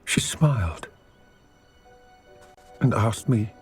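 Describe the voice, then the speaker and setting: A grave goblin from a fantasy video game. He is weary and earnest, telling his tale in solemn, formal, measured phrases, with music clearly behind him. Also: lowish voice, expressive. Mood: solemn, reflective. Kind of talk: video game cutscene acting.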